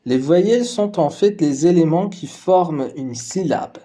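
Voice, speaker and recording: A man whose first language is Persian, calmly narrating a scripted lesson as the teacher. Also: on the high side, a little slow.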